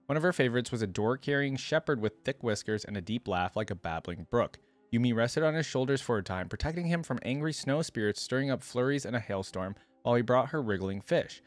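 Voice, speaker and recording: A man's voice: medium pitch, no room sound. A young adult man giving casual, chatty reaction commentary, thinking aloud and sharing his opinions as host. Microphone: close desktop microphone, headset on.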